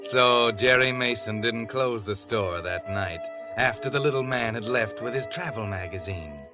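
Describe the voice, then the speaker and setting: An actor playing a brooding, insistent mystery narrator in 1940s radio drama style. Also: male voice, medium pitch, moderate pace, natural voice. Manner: brooding, insistent, addresses the character directly. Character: ominous, needling male mystery narrator. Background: dramatic music.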